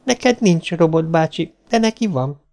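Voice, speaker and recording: A man's voice: a little high, lively intonation, vocal fry, a little mellow, voice pushed up. A man voicing an earnest, chatty little girl in a storybook, childlike and eager to explain. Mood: eager, polite.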